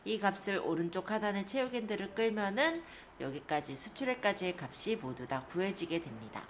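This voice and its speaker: A woman teacher giving a step-by-step software tutorial, explaining politely to learners. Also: medium pitch, animated, a little fast, a little hiss or hum.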